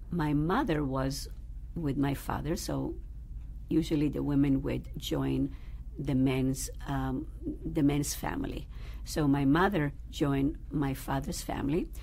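A woman rabbi speaks in a low, gravelly voice. She tells her family's story thoughtfully, pausing on fillers as she searches for words. A faint hiss sits under the recording. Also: mellow. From Israel. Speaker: rabbi, guest. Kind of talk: interview, personal storytelling. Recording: faint background noise.

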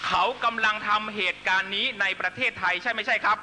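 A man's voice: high-pitched, fairly even intonation, fast, background noise. A man delivering a fiery political speech to supporters, accusatory and emphatic, constantly pressing listeners with rhetorical questions. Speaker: political rally speaker.

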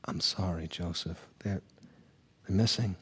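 Nervous, hesitant townsman played by a male actor, rough-edged husky voice, stammering delivery, audio drama. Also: low-pitched, fairly bright tone, exaggerated voice. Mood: uneasy, sorrowful. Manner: stammering, halting. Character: nervous, hesitant small-town man, anxious to placate. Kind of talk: live audio drama acting.

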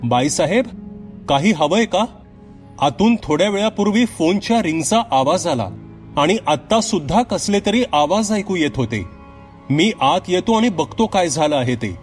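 A man's voice: sits high, lively intonation, moderate pace, soft background music, pitched above the performer's own voice. A man voicing a deferential, inquisitive bungalow watchman in a horror story, addressing an employer with respectful questions. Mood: polite, concerned. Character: deferential male bungalow watchman, curious and chatty. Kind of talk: dramatised horror story dialogue.

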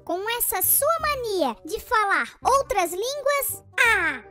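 Small bickering child, children's cartoon, squeaky high voice, whiny, exclaiming, music behind. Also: big pitch swings, takes it slowly, sharp tone, heavily acted. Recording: music bed under the voices.

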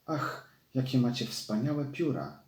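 A man's voice: medium pitch, big pitch swings, slow, a little mellow, pitched above the performer's own voice. Man playing a vain, gushing storybook crow lady, echoey. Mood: awed, gushing. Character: vain, dreamy female crow who longs for beauty. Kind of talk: storybook character voice. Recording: roomy echo.

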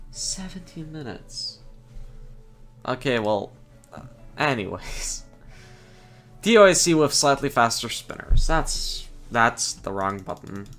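A young man casually commentating his own game stream, excitable, laughing often and groaning at his fails. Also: mid-range voice. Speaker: gaming streamer.

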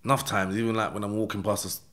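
Male podcast guest, London accent, low voice, street slang, casual first-person storyteller who keeps checking the listener follows. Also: little pitch movement, racing, fairly bright tone. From London, England. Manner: streetwise slang, repeated check-in tags like "yeah?" and "innit". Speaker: podcast guest telling his life story.

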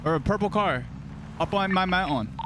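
A man's voice: high-pitched, lively intonation, moderate pace. A man gaming with a team in online roleplay, talking in urgent, repeated short phrases. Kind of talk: excited in-game team chatter. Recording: noisy game voice chat, vehicle noise behind.

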